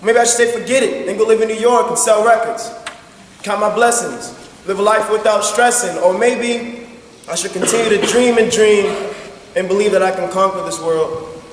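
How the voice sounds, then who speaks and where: A man's voice: high voice, moderate pace. A young man performing a reflective slam poem as a student. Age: young adult. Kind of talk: slow, reflective slam poetry recitation. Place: large school assembly hall. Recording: echoey hall from far off, faint noise.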